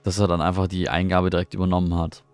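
A man's voice: deep voice, little pitch movement, fast, a little mellow, smooth, no room sound. A middle-aged man chatting casually while streaming a game from home, rambling and answering his chat, often puzzled. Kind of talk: casual live gameplay commentary. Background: faint game music.

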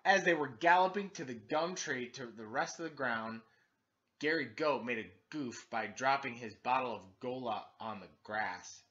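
A man in his thirties reading a children's storybook aloud as a teacher, with exaggerated, expressive emphasis, recorded indoors at home. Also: mid-range voice, normal speed, highs cut off. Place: living room, indoors.

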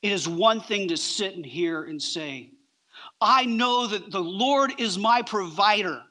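Man, middle-aged, preacher, high-pitched, bright forceful tone, emphatic phrasing, sermon delivery. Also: expressive. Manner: emphatic, with deliberate pauses between short phrases. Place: large church auditorium, on stage.

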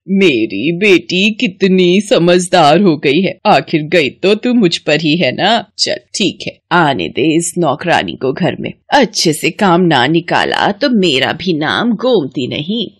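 A woman voicing a scheming, bossy older mother-in-law in an animated moral story, with a commanding, scornful manner. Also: deep voice, takes it slowly, hardly any pauses, husky, no echo, full character voice. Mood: scornful, sly.

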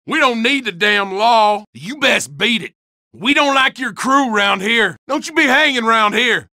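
Game barks: threatening Southern country man, crude drawl, bright and dry. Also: extremely high pitch, lively intonation, unhurried, no room sound, exaggerated voice. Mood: hostile. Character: rude hostile rural redneck man, middle-aged.

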